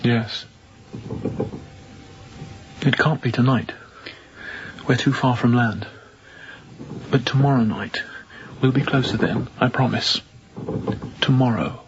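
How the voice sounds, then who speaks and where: Hushed, anxious young captain. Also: male voice, medium pitch, slow, long pauses. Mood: quietly earnest. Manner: hushed, urgent, secretive. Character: anxious young ship's captain hiding a fugitive. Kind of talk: radio drama acting. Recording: dull muffled audio with background noise.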